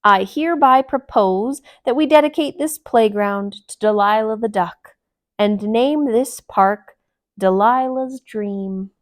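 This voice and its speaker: A woman's voice: on the high side, slow. A woman voicing a genial male storybook animal who speaks in a pompous, flowery, speechmaking manner. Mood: grand, pleased. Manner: grandiose, oratorical, alliterative.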